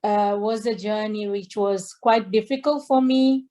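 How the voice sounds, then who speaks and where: A middle-aged woman from Tanzania, a singer on a video call, talking slowly with many fillers and restarts. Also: medium pitch, warm tone. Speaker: guest singer. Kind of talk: interview over video call. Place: indoor home room.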